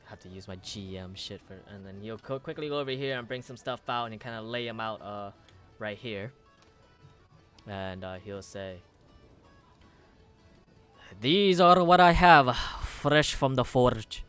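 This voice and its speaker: A young male game master narrating a tabletop session in a casual, stumbling way, often joking at his own expense. His voice is smooth and clear, with quiet music playing behind. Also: fairly low pitch. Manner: rambling, halting, self-mocking. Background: soft music.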